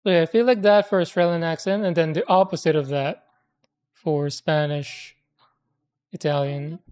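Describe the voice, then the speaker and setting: A woman with a Filipino accent, chatting casually and giving her opinions in chatty, drawn-out asides. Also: low-pitched, fairly even intonation, a little mellow, clean tone. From Philippines. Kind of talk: casual chat.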